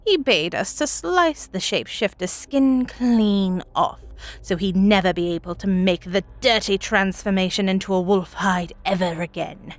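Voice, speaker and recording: A woman voicing a very elderly village woman, telling a grim tale from her childhood in a folksy, deliberate, dramatic manner. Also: mid-range voice, natural voice. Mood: dark, wry. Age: old woman as played. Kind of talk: dramatic first-person storytelling.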